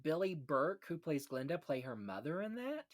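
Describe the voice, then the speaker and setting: A man's voice: a little high, sing-song, normal speed. Man hosting a casual film podcast. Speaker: podcast host.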